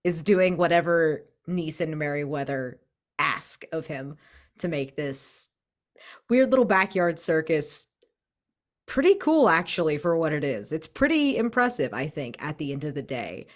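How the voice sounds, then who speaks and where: A woman's voice: sits low, very animated, a little slow. A woman playing a tabletop game, chatting informally and thinking aloud as she describes the scene. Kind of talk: casual game table talk.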